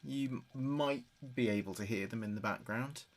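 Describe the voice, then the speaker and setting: Actor as a grieving son, hesitant and confiding. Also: male voice, medium pitch, normal speed, lightly acted. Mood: fond. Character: grieving adult son recording messages for dead father. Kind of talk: audio drama monologue as a taped diary.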